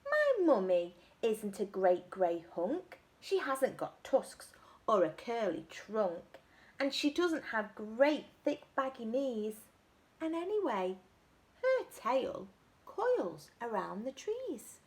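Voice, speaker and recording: A woman voicing an anxious little monkey searching for its mum, reading rhymes in a sing-song way with high cries of protest. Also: very animated, slow pace. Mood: fretful. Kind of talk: storybook read-aloud.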